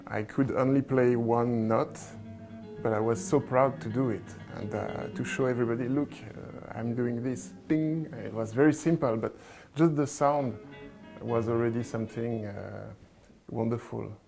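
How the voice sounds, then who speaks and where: A young man tells his story softly, with a gravelly edge to his voice. Guitar music is clearly heard in the background. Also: medium pitch, unhurried. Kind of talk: interview anecdote. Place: room, indoors.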